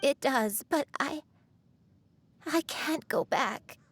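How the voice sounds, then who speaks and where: An actress playing a frail, forgetful old woman in a video game, speaking politely with halting, sorrowful wistfulness. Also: sits high. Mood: distressed. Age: older.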